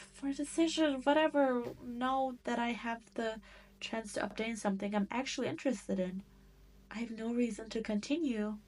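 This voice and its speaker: A woman's voice: fairly high pitch, a little mellow, natural voice. Give me a woman playing a haughty, possessive demon queen in an audio roleplay, teasing and condescending, taking her time over the words. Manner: slow, teasing, condescending drawl with theatrical emphasis. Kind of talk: scripted roleplay audio drama.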